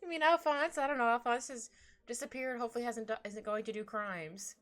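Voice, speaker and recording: A woman's voice: fairly high pitch, fairly quick, fairly bright tone. A young woman with a slightly stuffy nose, chatting casually on a livestream while she draws, talking to her pet and reading chat aloud. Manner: chatty, giggly, talks to her cat and reads chat aloud. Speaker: streamer drawing live. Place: small room at home. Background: faint background music.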